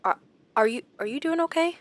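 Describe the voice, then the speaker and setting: A woman's voice: sits high, lively intonation, a little fast. A woman playing an anxious but determined captive therapist in a horror audio drama, with an earnest manner. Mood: uneasy, careful.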